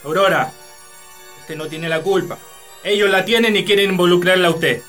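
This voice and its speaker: A man's voice: high-pitched, normal speed, a bit bright, soft background music. An actor with a Chilean accent playing an angry, imperious landowner father in a radio drama, scolding sternly. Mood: stern, bitter.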